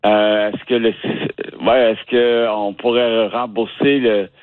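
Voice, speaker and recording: A man from the Ottawa region with a Canadian French accent, a guest who talks haltingly and restarts words as he searches for them. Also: fairly low pitch, little pitch movement, no room sound. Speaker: interview guest. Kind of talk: casual phone interview. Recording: tinny dry phone line.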